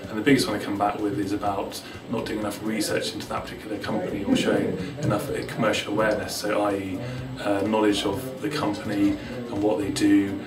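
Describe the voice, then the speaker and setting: A man with a lowish, very flat voice explains things as a careers adviser, repeating words as he goes. The room is echoey and music plays clearly behind him. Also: quick pace, few pauses, recorded from a distance. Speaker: student placement adviser. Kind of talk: interview-style advice to students.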